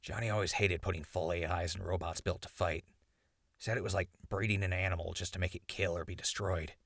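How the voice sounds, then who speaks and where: A man's voice: low voice, fairly even intonation, brisk, fairly bright tone, dry recording, studio quality. A man playing a wry, loyal, talkative ghoul wastelander in a post-apocalyptic audio drama. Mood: fond, reflective.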